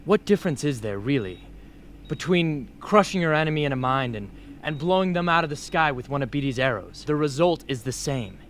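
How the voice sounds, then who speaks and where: A man's voice: fairly high pitch, lively intonation, fairly quick, natural voice. A man playing a hardened, argumentative young rebel soldier in an amateur radio drama, insistent and matter-of-fact. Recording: noisy amateur radio play recording.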